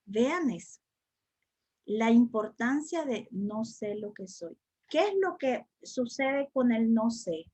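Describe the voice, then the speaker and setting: A woman's voice: fairly high pitch, expressive, takes it slowly, mellow, husky. A woman teaching a spiritual study class, speaking reflectively and repeating phrases for emphasis. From El Salvador.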